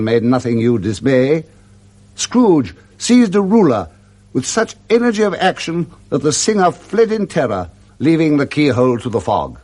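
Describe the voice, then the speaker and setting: A man with an English accent narrating a classic story for 1960s British radio in a measured, theatrical manner. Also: medium pitch.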